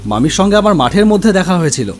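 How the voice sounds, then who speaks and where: A man's voice: high-pitched, sing-song, voice pushed up. Man acting startled young nephew, music behind. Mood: puzzled, earnest. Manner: startled, questioning, halting. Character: anxious, bewildered young nephew visiting his uncle's house. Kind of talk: cartoon horror story dialogue.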